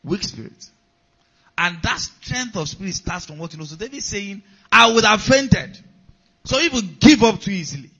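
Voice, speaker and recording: A man's voice: high voice, lively intonation, normal speed, pushed and bright, husky. A man preaching a sermon, repeating key lines and checking in with the congregation. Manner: preaching with repetition and call-outs to the congregation. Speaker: pastor. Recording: dull lo-fi sound.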